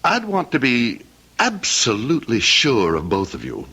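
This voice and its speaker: A man's voice: medium pitch, wildly swooping pitch, sharp tone, husky, heavily acted. An actor playing a cold, scheming heir in his thirties, menacing and mocking, in old-time radio drama style. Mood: menacing, taunting. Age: 30s. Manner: menacing, mocking, theatrically deliberate.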